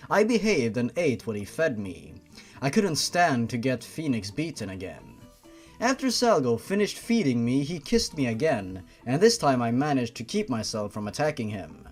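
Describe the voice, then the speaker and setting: A man hosting a fan fiction reading, narrating expressively and adding sardonic, incredulous asides. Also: medium pitch.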